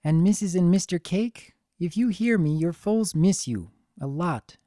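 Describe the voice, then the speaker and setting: A man voicing a gentle, sad cartoon pony mare, a motherly aunt who talks softly and earnestly in a story reading. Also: high voice, animated, unhurried, dry recording, studio quality, voice pushed up. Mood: solemn, caring. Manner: soft, tender, earnest. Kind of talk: dramatic fan fiction reading.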